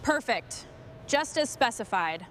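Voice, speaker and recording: High voiced woman doing short procedural comments while fixing up machinery, noisy ambience behind her. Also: animated, takes it slowly. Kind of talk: short work commentary during restoration.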